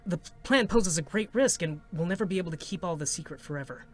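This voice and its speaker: Anxious scientist, lightly high voice. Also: male voice, lively intonation, faint music behind. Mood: troubled planning. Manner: hesitant, stammering, halting. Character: anxious, secretive male scientist burdened by a grand plan. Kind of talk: dramatic monologue at a live reading of a game story.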